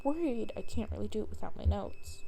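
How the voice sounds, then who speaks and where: A woman's voice: mid-range voice, expressive, a little fast, vocal fry, warm tone, a little hiss or hum. Woman reading as a casual, hesitant young boy. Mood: reluctant, worried. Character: anxious, stubborn young boy with hero dreams.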